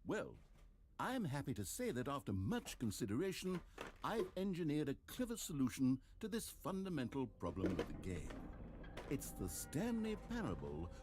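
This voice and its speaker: An actor playing a smug, self-satisfied video game narrator who speaks in articulate, theatrical and wry scripted narration. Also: male voice, a little high, huge pitch swings, normal speed. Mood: smug, reassuring. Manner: pompous, wry, sarcastic, articulate. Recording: game audio with music underneath. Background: music.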